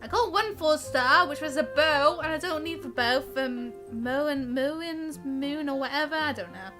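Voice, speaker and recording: Female game streamer, very high voice, chatty and giggly, casual reactions, game music behind. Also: expressive, unhurried. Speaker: live streamer playing a game. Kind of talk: casual livestream chat with reactions and read-along comments.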